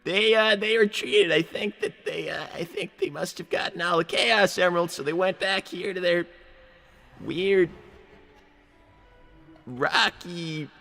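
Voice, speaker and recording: A man voicing an excitable young male fox, an earnest, chatty inventor sidekick who stammers and rambles in live role-play. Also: high voice, measured pace, creaky, long pauses, heavily acted. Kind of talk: improvised in-character livestream role-play. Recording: long reverb, music behind. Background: music.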